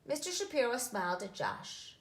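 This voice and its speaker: Female children's story reader: expressive and animated, slightly high pitch, echoey room. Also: normal speed. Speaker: story reader, nonprofit executive director.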